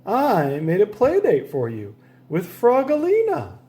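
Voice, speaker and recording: A man's voice: sits high, wildly swooping pitch, measured pace, dark tone, pitched above the performer's own voice, exaggerated voice. A man voicing a coaxing, fussing mother frog in a children's storybook, with singsong, stretched-out calls. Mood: cheerful, insistent.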